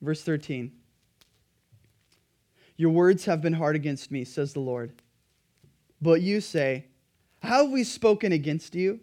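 A pastor preaching a sermon in an earnest, emphatic way, his throat a little dry and raspy. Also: fairly high pitch, lively intonation, a little slow, pauses often, darkish tone.

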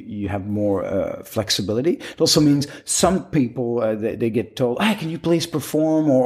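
A middle-aged man speaking English with a Quebec French accent. He is an interview guest who talks loosely and circles back, full of fillers and restarts. His voice sits in an ordinary middle pitch. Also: darkish tone. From Quebec, Canada. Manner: rambling, many restarts and fillers. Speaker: guest, professional magician. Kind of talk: casual interview chat.

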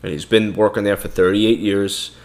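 A man's voice: on the low side, level tone, normal speed, darkish tone. A man from Brooklyn, New York, with a Brooklyn accent, co-hosting a podcast in blunt, heated casual talk. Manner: blunt, heated, repeats words. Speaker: podcast co-host. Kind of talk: casual opinionated chat.

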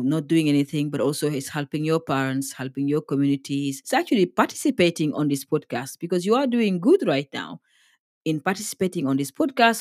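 A woman's voice: low-pitched, a little mellow, no room sound, studio quality. A woman hosting an interview podcast, talking with effusive praise for her guests. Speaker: podcast host.

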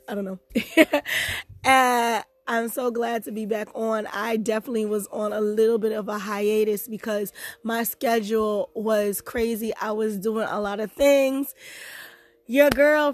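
A young woman hosting a personal podcast in casual chat, bubbly and quick to laugh, her voice hoarse from a sore throat. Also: a little high, no echo. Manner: bubbly, chatty, laughs easily.

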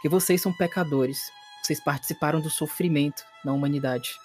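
A man's voice: on the high side, fairly even intonation, fairly quick, a little mellow, soft background music. A man narrating a horror tabletop game dramatically as game master.

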